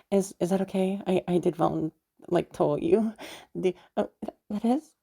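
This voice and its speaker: A woman's voice: lowish voice, measured pace, vocal fry, warm tone, breathy edge, exaggerated voice. A woman voicing a sweet, bubbly, nervous young woman chatting in a roleplay audio drama, hesitant, giggly and stammering. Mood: cheerful, flustered. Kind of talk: roleplay audio drama, one side of a phone chat.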